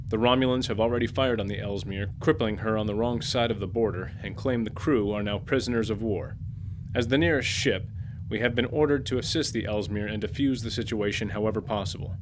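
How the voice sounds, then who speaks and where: A man reading a scripted science fiction introduction and captain's log in a measured, deliberate way. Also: lowish voice, noisy background. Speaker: player and show narrator. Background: music.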